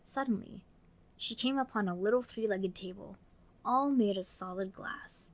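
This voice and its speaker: Female storybook narrator, mid-pitched with noticeable creak, expressive children's story delivery. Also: normal speed.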